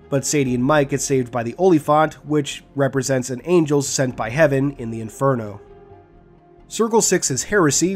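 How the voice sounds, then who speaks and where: A man delivers scripted narration as the host of an explainer video. His voice has an average pitch, and music plays behind him. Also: darkish tone. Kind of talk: scripted guide and lore narration.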